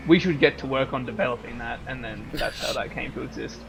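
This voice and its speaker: A man's voice: mid-range voice, fast talker, gravelly, a crowd in the background, low-quality recording. A man giving casual let's-play commentary, joking and rambling as he riffs on the game. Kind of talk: casual gaming banter.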